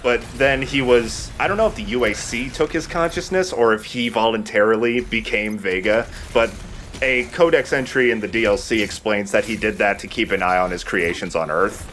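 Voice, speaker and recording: Male gameplay host, lower register, conversational and explanatory, casual delivery, faint noise in the recording. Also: normal speed. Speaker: let's play host, playing the game. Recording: noisy mic with steady background noise.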